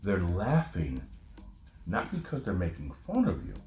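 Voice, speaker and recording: A man with a very low, dark voice delivers a blunt, profane monologue in a casual podcast style. He is from Northern California. His voice echoes in the room, and faint music plays behind him. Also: wildly swooping pitch, a little slow. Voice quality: profane, conversational rant. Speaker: podcast host.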